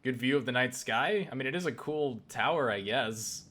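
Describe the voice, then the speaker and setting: A man's voice: mid-range voice, normal speed, a bit bright. A man doing casual, rambling, joking video game commentary as a co-commentator, with frequent swearing. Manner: profane, riffing, rambling. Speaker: let's play co-commentator. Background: video game music.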